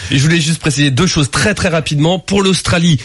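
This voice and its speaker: Fast, husky male radio co-host. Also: fairly high pitch. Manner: quick clarifying asides. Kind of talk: radio talk-show commentary.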